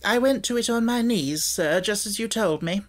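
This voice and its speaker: Male reader as dutiful female housekeeper, Victorian storybook, pitched up, earnest and deferential. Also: normal speed, natural voice. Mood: eager, deferential.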